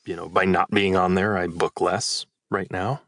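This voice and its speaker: A man's voice: deep voice, flat delivery, normal speed, fairly bright tone, no echo, studio quality. A man who works as a voice actor, talking casually on a podcast in a thoughtful, rambling way. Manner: thoughtful, rambling with restarts and hedges. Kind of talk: casual chat.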